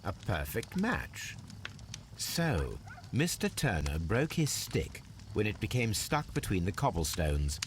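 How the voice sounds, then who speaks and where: A low-voiced English gentleman detective from a video game. He is shrewd and formal, picking out each observation precisely with lively rises and falls. Faint to clear background ambience sits behind him. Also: slow pace, noisy background, exaggerated voice. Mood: observant, analytical.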